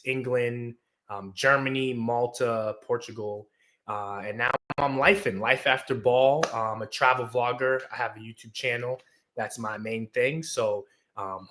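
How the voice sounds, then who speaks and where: A man in his twenties from the United States, appearing as a guest and telling his story in a relaxed, easygoing way. He fills his talk with ums and quick laughs, and his voice has a bright, crisp edge. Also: medium pitch, a little hiss or hum. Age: 20s. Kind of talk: conversational storytelling.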